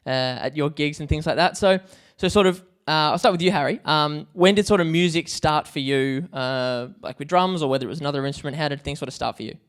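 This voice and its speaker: An Australian man hosts a casual interview in a clear, smooth voice that sits a little high. He phrases things informally, feeling his way into each question. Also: a little fast, few pauses. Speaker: podcast host.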